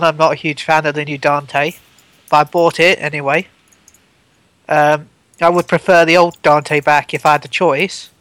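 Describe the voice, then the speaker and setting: Twenty-something guy on a game podcast, kinda high voice, rambles and restarts a lot. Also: a bit bright, no room sound. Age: 20s. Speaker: podcast co-host. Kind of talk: casual gaming podcast chat.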